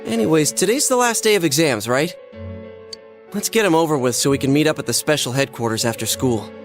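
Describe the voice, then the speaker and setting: A man playing an easygoing teenage high school boy in an English anime game, with a loose, colloquial delivery. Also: expressive, a little fast. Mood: brisk, casual. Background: music.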